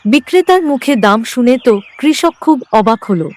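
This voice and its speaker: A woman's voice: on the high side, animated, moderate pace. A woman narrator reading a scripted cartoon horror tale as a storyteller.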